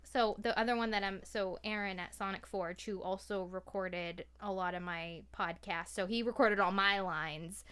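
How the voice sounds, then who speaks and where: An American woman from Maine talking in an informal, animated, slangy way as a podcaster. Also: mid-range voice, moderate pace, hardly any pauses, clear, resonant tone. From Maine, USA. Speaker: podcast guest, podcaster and voice actor. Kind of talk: casual interview chat.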